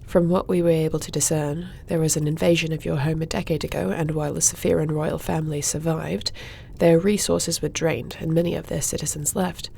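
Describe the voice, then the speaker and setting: Woman as young warrior princess, low creaky voice, formal and measured, guarded, fantasy audio drama, noticeable background ambience. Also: fairly even intonation, mellow, slight background noise. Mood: calm, probing. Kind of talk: audio roleplay fantasy acting, addressing listener.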